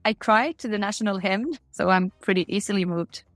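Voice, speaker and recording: A woman's voice: medium pitch, brisk, a bit bright, no echo, soft background music. A woman from Norway with a Norwegian accent, answering interview questions in a candid, chatty way. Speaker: interview guest.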